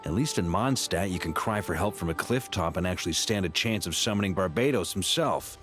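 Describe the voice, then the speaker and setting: Charming self-deprecating knight for a game cutscene, low register, lively and wry. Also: male voice, a little fast. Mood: gloomy. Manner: wry, casual, theatrically expressive. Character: roguish charming grand-master knight, self-deprecating under pressure. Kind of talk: video game cutscene dialogue. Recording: music behind the voice.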